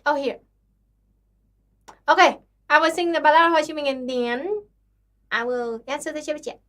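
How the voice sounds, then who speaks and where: A young woman with a Vietnamese accent and a high voice, chatting on her livestream. She sounds tired and talks in a chatty, apologetic, self-deprecating way, reading messages and answering viewers. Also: fairly even intonation, moderate pace, fairly bright tone. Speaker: livestream host. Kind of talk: casual livestream chat with singing.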